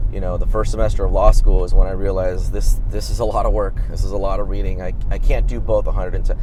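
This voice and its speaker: Low-voiced man in his thirties, rambling. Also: background noise. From Southern California. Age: 30s. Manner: rambling, self-deprecating, frequent restarts. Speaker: trial lawyer and podcast co-host. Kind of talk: casual podcast chat.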